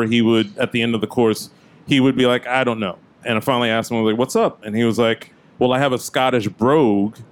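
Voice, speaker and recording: A man speaking on a panel as a freestyle rapper, earnest and loose, talking into a handheld mic. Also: mid-range voice. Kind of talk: casual panel talk.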